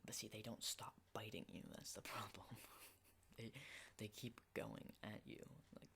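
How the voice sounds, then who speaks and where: A man's voice: little pitch movement, bright, forceful tone, heavily acted. Man acting a whispering, unhinged apocalypse survivor. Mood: morbid fascination. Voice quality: breathy whisper with low creaky undertones. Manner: halting, intimate, unhinged musing. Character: unhinged male zombie apocalypse survivor, menacing captor. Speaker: ASMR roleplay performer. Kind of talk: whispered ASMR roleplay.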